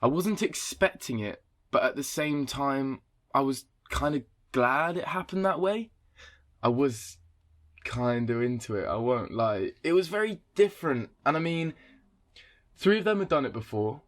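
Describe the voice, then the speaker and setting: Candid young man, medium pitch , acted. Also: lively intonation, unhurried, close to an everyday voice. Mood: candid, reflective. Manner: hesitant, candid. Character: candid young man recounting a casual encounter. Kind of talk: confessional radio drama monologue.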